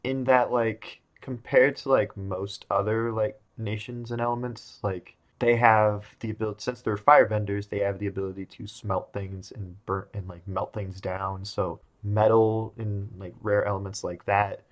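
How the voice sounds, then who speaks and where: A man's voice: fairly low pitch, little pitch movement, normal speed, hardly any pauses, highs cut off. A man hosting a casual group discussion, thinking aloud with lots of fillers. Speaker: podcast host.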